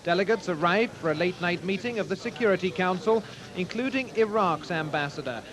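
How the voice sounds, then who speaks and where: A man's voice: high voice, animated, fairly quick, a crowd in the background. A man delivering a scripted television news report as a correspondent.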